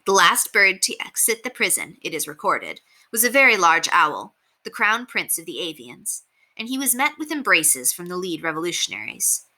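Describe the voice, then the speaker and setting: Bright-voiced woman reading a story aloud. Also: lowish voice, big pitch swings, moderate pace. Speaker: storybook reader. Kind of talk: expressive read-aloud narration of a children's novel.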